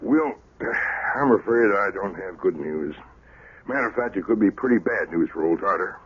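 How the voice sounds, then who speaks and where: An American actor playing a sly, gleeful small-town prankster in a radio drama, folksy and mischievous, with a rough, husky voice. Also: male voice, low voice, lively intonation, normal speed. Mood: mock solemn. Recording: muffled lo-fi old radio broadcast.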